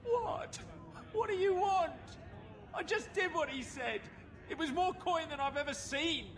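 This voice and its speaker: An actor playing a drunken, boastful but cowardly lowlife in a video game, with rough, blustering street talk. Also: male voice, animated, a little slow, crowd noise. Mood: panicked, pleading. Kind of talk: video game cutscene acting.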